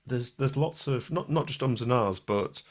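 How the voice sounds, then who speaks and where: A man's voice: mid-range voice, moderate pace. A man hosting a podcast in casual conversation, self-deprecating, stuttering and restarting his sentences. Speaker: podcast host.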